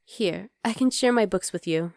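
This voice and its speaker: A woman playing an affectionate, playful young demon girlfriend in an intimate ASMR roleplay, speaking softly to the listener. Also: medium pitch, moderate pace, creaky, dry recording, clean studio sound, lightly acted.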